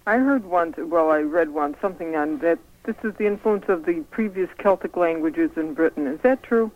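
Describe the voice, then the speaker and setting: A woman's voice: lowish voice, moderate pace. A woman calling in to a radio show, curiously asking a question about something she once read. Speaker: caller. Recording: tinny phone line on air.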